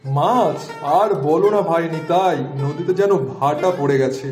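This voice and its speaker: A man's voice: fairly high pitch, measured pace, a little mellow, natural voice. A man playing a worried, resigned poor village fisherman in a cartoon, with a complaining way of talking. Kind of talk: Bengali moral-story cartoon dialogue. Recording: echoey, clear music underneath.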